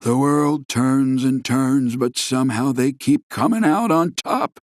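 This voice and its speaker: Man as a slow-speaking, bitterly lamenting fisherman. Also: medium pitch, lightly acted. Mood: bitter, weary. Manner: weary, grumbling, theatrical lament. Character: bitter, despairing male fisherman lamenting his lot. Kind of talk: video game character dialogue.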